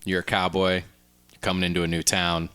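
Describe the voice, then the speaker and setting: Bright-voiced, lowish man chatting on a podcast. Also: completely flat delivery, brisk, smooth. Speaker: podcast co-host. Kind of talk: casual conversational pitching.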